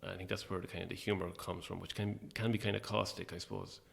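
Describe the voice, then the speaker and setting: A man's voice: deep voice, flat delivery, quick pace, creaky. A man chatting casually as an interview guest about his own creative work, in a hesitant, reflective way. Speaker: playwright and actor, interview guest.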